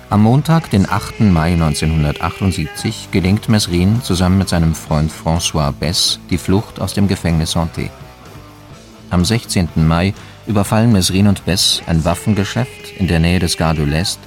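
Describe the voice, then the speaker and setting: A man reading scripted documentary-style narration for a radio drama in a factual, measured manner. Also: low voice.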